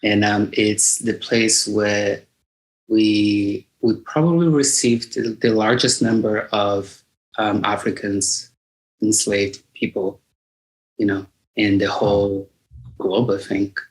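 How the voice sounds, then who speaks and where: A man's voice: lowish voice, steady tone, takes it slowly, low-quality recording. A middle-aged man from Bahia with a Brazilian accent, a native Portuguese speaker, talking reflectively as an interview guest and searching for his words. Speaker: guest singer-songwriter. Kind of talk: conversational interview.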